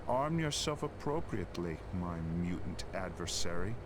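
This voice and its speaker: An actor playing an arrogant rival assassin, speaking in a formal, theatrical, deliberate manner. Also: male voice, low-pitched, sing-song, noisy background. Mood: haughty challenge.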